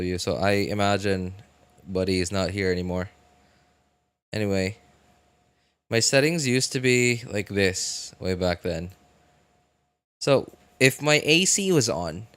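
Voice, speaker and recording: A man live streaming a video game, chatting casually and thinking aloud in a halting way. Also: lowish voice, fairly bright tone, clean tone, dry recording. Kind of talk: casual live stream chat while gaming.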